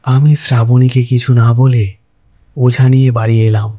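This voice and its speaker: Slow, mellow male storyteller. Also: medium pitch, flat delivery, highs cut off. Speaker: narrator. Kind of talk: scripted first-person story narration.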